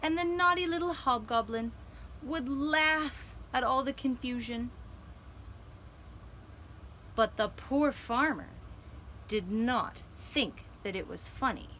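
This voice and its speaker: A woman telling a gentle children's story for a puppet show, with deliberate, dramatic phrasing and pauses. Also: high-pitched, slight background noise.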